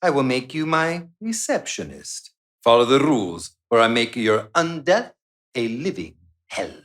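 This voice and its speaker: Radio play villain: smug vampire boss, condescending and theatrical, widely swinging pitch. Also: male voice, very animated, measured pace, fairly bright tone. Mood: domineering. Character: gloating, menacing male vampire doctor and boss. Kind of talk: live radio play acting.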